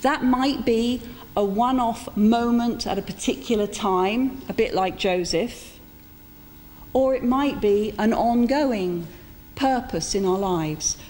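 A middle-aged woman giving an informal, reflective sermon in a conversational storytelling style, with pauses for emphasis. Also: fairly high pitch, a little mellow. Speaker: preacher. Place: church interior, speaking to a congregation. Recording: echoey church hall with background noise.

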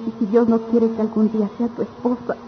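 A woman's voice: a little high, flat delivery, fast, music in the background, close to an everyday voice. A woman acting a resolute, devoted young betrothed country girl, solemn and firm, in a radio melodrama. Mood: solemn resolve. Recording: dull lo-fi radio drama sound.

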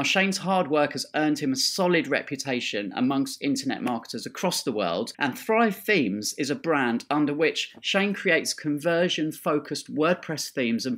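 A man's voice: on the high side, a bit bright. A man hosting a business podcast, reading an enthusiastic introduction and asking interview questions. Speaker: podcast host.

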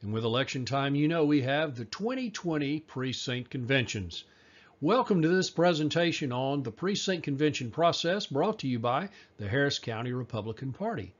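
A man reading a scripted informational voice-over, measured and explanatory, slowing down for key details. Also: mid-range voice, animated, highs cut off. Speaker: party presenter.

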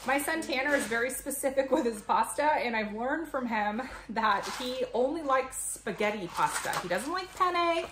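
A woman's voice: high voice, lively intonation, moderate pace, hardly any pauses. A woman in her thirties chatting casually and explaining things to camera as a home vlogger. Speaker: vlogger, mother showing a grocery haul. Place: home kitchen, indoors. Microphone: camera a few feet away. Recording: echoey kitchen, noisy, clicks and rustling.

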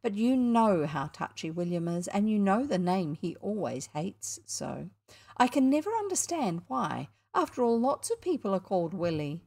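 A woman's voice: medium pitch, big pitch swings, normal speed, vocal fry, a little mellow. A woman voicing a polite, anxious mother in a storybook, hesitant and fussing as she speaks. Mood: defensive.

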